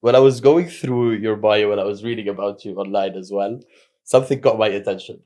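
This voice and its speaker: A man hosting a podcast interview, upbeat and energetic as he welcomes and questions his guest. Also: lowish voice, fast. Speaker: podcast host.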